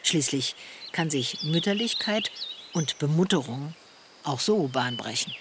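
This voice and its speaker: An actress playing a thoughtful older woman in a radio play, speaking in a measured, articulate and analytical way. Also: sits low, expressive, noisy background. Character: thoughtful older woman, an intellectual queer friend. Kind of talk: radio play dialogue, conversational essay.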